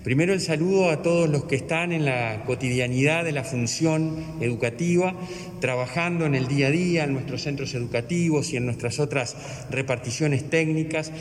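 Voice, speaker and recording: Official speaking, higher voice, long fancy sentences, faint hiss in the room. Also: male voice, normal speed. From Uruguay. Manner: fluent, long ornate sentences. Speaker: education official. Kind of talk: formal official address. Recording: echoey hall, faint noise.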